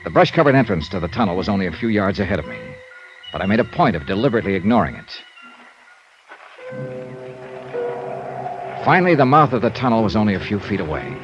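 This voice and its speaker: A hard-boiled American investigator with a low voice and a steady, matter-of-fact delivery. It is a muffled old radio drama recording with faint music. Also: male voice, very fast. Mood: hard-boiled narration. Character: tough, steady male insurance investigator hero. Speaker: lead and narrator. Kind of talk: old-time radio drama acting, first-person detective narration.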